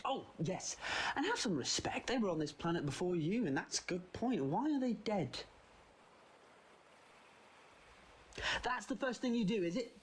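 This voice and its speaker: A man playing a quirky, quick-witted time traveller in an audio drama, flippant yet assertive. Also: sits high, big pitch swings, slight background noise. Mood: indignant.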